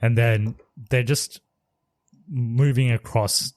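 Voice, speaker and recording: A man's voice: medium pitch, fairly even intonation, slow pace, dry recording. Man chatting casually about movies. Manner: rambling, hedging, laughs often. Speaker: podcast co-host. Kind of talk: casual movie review chat.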